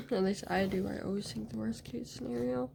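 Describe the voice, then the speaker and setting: Gravelly, mellow woman rambling softly. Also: on the high side, fairly even intonation, brisk, slight background noise. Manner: hesitant, rambling, many fillers. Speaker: vlogger. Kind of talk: casual video diary.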